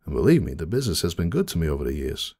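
A man voicing a grieving, kindly cartoon pony cafe owner in an emotional, halting dramatic monologue. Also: a bass voice, big pitch swings, creaky, dark tone, breathy edge, full character voice. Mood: weary, bitter. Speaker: dramatic reader of fan fiction. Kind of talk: dramatic first-person monologue reading.

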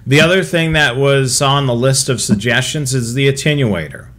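Casting: American male co-host, middle-aged, mid-pitched, conversational and explanatory. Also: normal speed, fairly bright tone. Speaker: co-host of a police scanner show. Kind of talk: casual explanatory livestream talk. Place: small home room with equipment, indoors.

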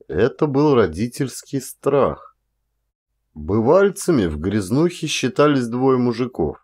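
A man reading a literary audiobook as an actor, with measured, dramatic phrasing and deliberate pauses. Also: mid-range voice, a little mellow. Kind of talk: expressive literary audiobook narration.

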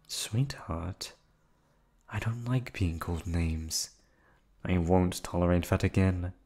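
A man voicing a calm, unhinged yandere receptionist who has kidnapped the listener, in an ASMR audio drama. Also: low voice, slow, breathy edge, heavily acted. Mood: menacing. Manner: soft, coolly reasoning, menacing. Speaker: voice actor.

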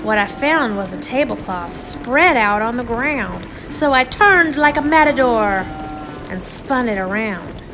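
Female storybook reader, fairly high voice, unhurried and theatrical, music bed underneath. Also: lively intonation, low-quality recording. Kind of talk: expressive children's read-aloud narration.

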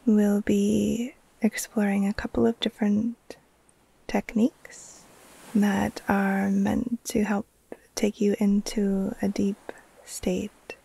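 Woman, meditation guide, very creaky low-energy delivery, soft breathy tone, flat soothing phrasing, long pauses. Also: poor audio. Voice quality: breathy, soft, often trailing into near-whisper. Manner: slow, soothing, hushed, with long pauses between short phrases. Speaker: yoga nidra teacher, meditation guide.

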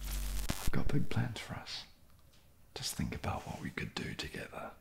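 A man in his thirties playing a smug, seductive inner alter ego in an ASMR short film, in a breathy whisper with a slow, intimate, slyly cocky manner. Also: deep voice, mellow, exaggerated voice. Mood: hushed, gloating. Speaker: ASMR performer.

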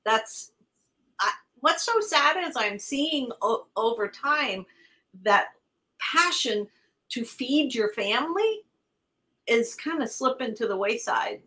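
A woman's voice: a little high, lively intonation, slow pace, sharp tone. A middle-aged woman whose first language is Italian, chatting animatedly as a guest on a video call. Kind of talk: casual video call chat.

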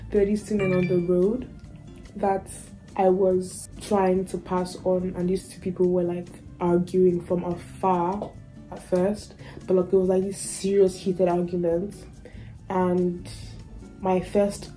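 A young woman vlogging from her student room, telling a story in a rambling, chatty way with many fillers, her voice a little raspy from having lost it. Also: lowish voice, mellow, rough recording. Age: young adult. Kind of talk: casual vlog storytime. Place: small student bedroom indoors.

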